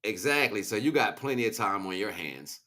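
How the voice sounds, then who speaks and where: A man's voice: fairly low pitch, expressive, normal speed, sharp tone. A man hosting a casual livestream, talking in a slangy, rambling, preachy way as he chats back to viewers. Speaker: livestream host. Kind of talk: casual impassioned livestream monologue.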